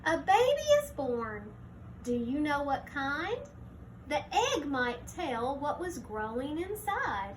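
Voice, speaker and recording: High-voiced woman reading to children. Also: sing-song, slow pace, slight background noise. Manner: child-directed, explains simply, points things out. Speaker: teacher reading aloud to young children. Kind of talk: picture book read-aloud with explanatory asides.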